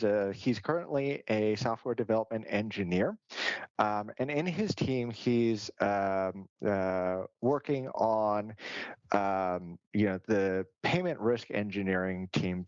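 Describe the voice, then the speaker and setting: A man with a low voice introduces the next speaker in an upbeat way, with plenty of ums. The sound is dry and close, slightly dull in tone. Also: no room sound. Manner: upbeat, filler-heavy introductions. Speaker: event moderator.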